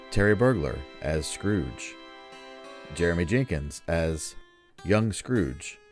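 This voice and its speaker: A man with a deep voice announces an old-time radio show over music. His scripted delivery is showy and lively in pitch.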